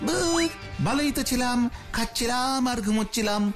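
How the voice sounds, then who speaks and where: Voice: medium pitch, animated, normal speed, natural voice. An actor voicing a cheeky, lazy schoolboy in a cartoon, grumbling in a whiny, theatrical way. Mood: sulky grumbling. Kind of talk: Bengali cartoon dubbing. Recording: music behind the voice.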